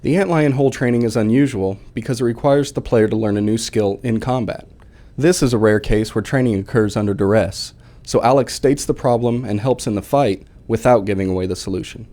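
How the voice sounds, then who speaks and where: Male game developer, warm dark voice, reading prepared commentary. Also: mid-range voice, fairly quick.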